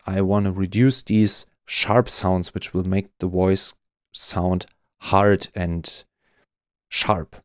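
A man presenting an explanatory tutorial, walking through things step by step in a measured way. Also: deep voice, fairly even intonation, no room sound, clean studio sound.

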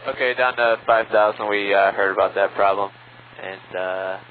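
Lowish male pilot on muffled radio. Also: steady tone, normal speed. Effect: radio transmission. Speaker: airline pilot. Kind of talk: casual radio calls. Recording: muffled, hissy cockpit radio.

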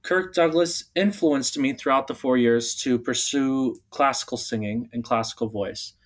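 Young guy from bulgaria on a radio chat, mid pitch, lots of ums, easygoing. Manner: relaxed, halting, many ums and restarts. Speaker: opera singer guest. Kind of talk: casual interview talk. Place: radio studio.

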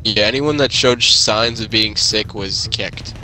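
A man plays a guarded leader of a zombie-apocalypse settlement in a game roleplay. His voice sits fairly low and he speaks firmly, with music playing clearly behind him. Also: measured pace, bright, forceful tone, rough recording. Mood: matter-of-fact. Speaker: player in a roleplay skit. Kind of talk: improvised game roleplay dialogue.

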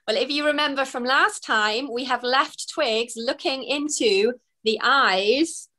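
A young woman reading her own children's book aloud over a video call from a room at home, expressive with gasps and small laughs. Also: high-pitched, measured pace. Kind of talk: storybook narration. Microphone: webcam on a video call.

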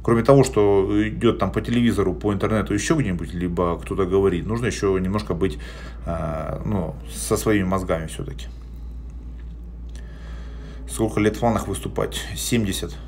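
A man's voice: low-pitched, normal speed, a little mellow, a little hiss or hum. A man in his forties chatting on a live stream from a small indoor room, answering viewer questions bluntly, with many hesitation sounds. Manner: plain-spoken and blunt, with frequent hesitation sounds. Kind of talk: casual live-stream chat. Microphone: phone held at arm's length.

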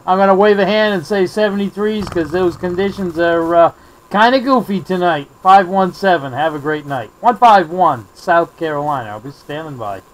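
A man's voice: sits high, normal speed, a little hiss or hum, low-quality recording. An American man working as a CB radio operator, trading call signs and friendly, courteous sign-offs. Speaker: CB radio operator, video maker. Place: indoor radio desk.

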